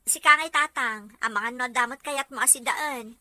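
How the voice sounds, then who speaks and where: A woman's voice: high voice, normal speed, sharp tone. A woman playing a sensitive young wife and mother with a Filipino accent in an Ilocano audio drama. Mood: eager, dutiful.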